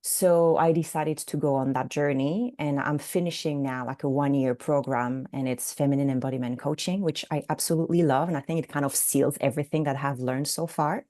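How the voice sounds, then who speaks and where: A woman's voice: low-pitched, steady tone, a little fast, few pauses, warm tone. A French woman in her forties with a French accent introducing herself on a group video call, hesitant and searching for her words. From France. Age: 40s. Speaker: coaching program participant.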